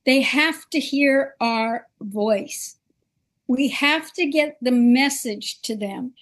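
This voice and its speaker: A woman hosting a political talk show, speaking earnestly and with emphasis. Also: sits high. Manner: earnest, emphatic, slow and deliberate.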